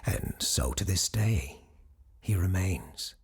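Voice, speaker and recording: Woman acting husky, low-voiced occult radio host. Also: expressive, heavily acted. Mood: grave, scholarly. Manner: measured, ominous, scholarly. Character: grave occult expert hosting a supernatural call-in radio show. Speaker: radio call-in show host. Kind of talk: audio drama acting.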